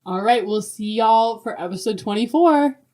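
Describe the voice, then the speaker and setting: A woman co-hosting a podcast, with friendly, expressive delivery in talk and reading. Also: a little high, moderate pace. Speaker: podcast co-host.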